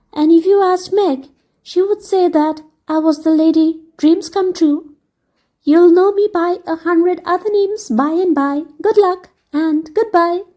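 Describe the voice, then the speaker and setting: A woman's voice: very high-pitched, warm tone, pitched above the performer's own voice. A woman reading a storybook aloud, voicing a merry, playful fairy lady who speaks in a light, laughing way.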